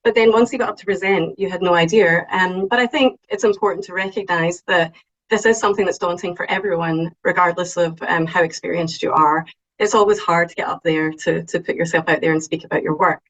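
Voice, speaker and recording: A woman with a strong Scottish accent giving an online talk, lively and excitable, with frequent ums. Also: fairly low pitch, a little fast, highs cut off. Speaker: invited speaker. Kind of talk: webinar talk on presenting.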